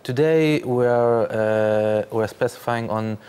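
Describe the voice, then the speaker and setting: A man, speaking haltingly with many fillers as a guest in a studio interview. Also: medium pitch, dark tone, clean tone. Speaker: interview guest, startup founder.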